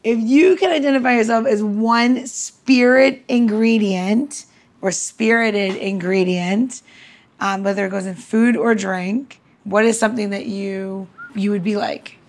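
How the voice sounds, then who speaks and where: A woman's voice: mid-range voice, steady tone, a little slow. Casual, rambling woman podcaster. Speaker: podcast co-host. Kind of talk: casual, candid chat.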